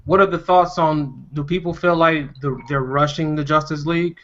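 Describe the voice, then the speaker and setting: A man's voice: a little high, fairly even intonation, moderate pace, a bit bright. A man chatting casually in a group discussion, conversational and given to acting out imagined dialogue. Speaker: podcast panelist. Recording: dull lo-fi recording.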